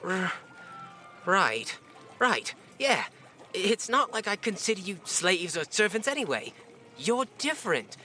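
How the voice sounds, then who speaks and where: A man acting a bright, breezy young lordling. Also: high-pitched, sing-song, measured pace, faint music behind, full character voice. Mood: flustered. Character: breezy, privileged young lord's son, friendly with servants. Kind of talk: audio drama acting.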